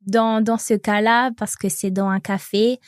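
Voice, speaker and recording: A woman in her twenties from France, reading French lines and adding grammar notes in a relaxed way. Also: medium pitch, smooth, no echo, clean studio sound. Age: 20s. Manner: slow, relaxed, with fillers and light laughs. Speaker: co-host, native French speaker. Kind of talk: bilingual language lesson.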